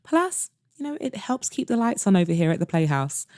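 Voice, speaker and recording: A woman's voice: medium pitch, big pitch swings, fairly quick, darkish tone, dry recording, clean studio sound. A woman hosting a podcast and narrating a scripted romance audiobook in an intimate style.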